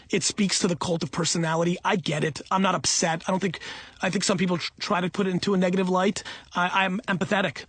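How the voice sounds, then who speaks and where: A man's voice: high-pitched, fairly bright tone, no room sound. A middle-aged man talking off the cuff for an audiobook in an emphatic, self-assured, blunt and conversational way. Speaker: entrepreneur and company CEO reading own audiobook.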